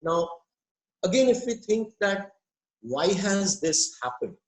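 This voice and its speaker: A man, a psychiatrist giving an online welcome speech, hoarse from a cold and speaking in a measured, deliberate way over a video call. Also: high-pitched, sing-song, poor audio. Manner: measured, deliberate, pauses with fillers.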